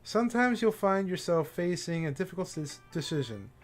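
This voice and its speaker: Male livestreamer, voice a bit on the high side, informal visual-novel playthrough with read-aloud lines, soft game music behind. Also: expressive, normal speed. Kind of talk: casual playthrough commentary, reading game text aloud.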